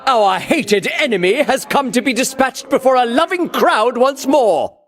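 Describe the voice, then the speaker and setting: An actor playing a pompous, vainglorious champion warrior in a video game, declaiming grandly and scornfully in archaic heroic language. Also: male voice, extremely high pitch, animated, measured pace, a bit bright, full character voice. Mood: haughty, defiant. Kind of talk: theatrical video game battle lines.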